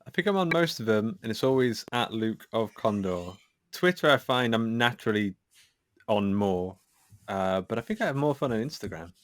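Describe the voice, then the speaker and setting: A man's voice: fairly low pitch, lively intonation, moderate pace, dry recording, clean studio sound. A man speaking as a podcast guest in an informal, self-effacing, chatty way. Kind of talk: casual chat.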